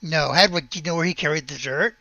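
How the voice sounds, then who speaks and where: A woman voicing an eager, gossipy teenage village girl in a tabletop roleplay, halting and boastful about what she knows. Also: low-pitched, sharp tone, no echo, highs cut off. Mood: conspiratorial.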